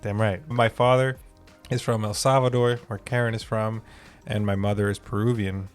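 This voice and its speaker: A man's voice: on the low side, steady tone, moderate pace, faint music behind. A man in his twenties from Maryland, co-hosting a casual podcast in a laid-back, upbeat way. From Maryland, United States. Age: 20s. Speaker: podcast co-host. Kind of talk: casual chat.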